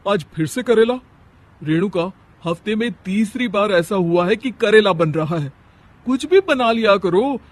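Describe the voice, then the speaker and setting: Man as an irritated, high-voiced young husband. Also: lively intonation, measured pace. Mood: annoyed. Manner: complaining, exasperated. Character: irritated young husband fed up with lazy wife. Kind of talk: Hindi cartoon family story.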